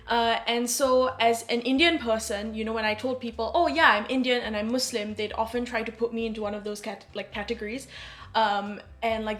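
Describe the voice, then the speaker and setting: A woman in her twenties from Singapore, thoughtfully talking about her own experiences as a podcast guest. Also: a little high. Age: 20s. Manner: reflective, with fillers like "you know". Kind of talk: casual personal account.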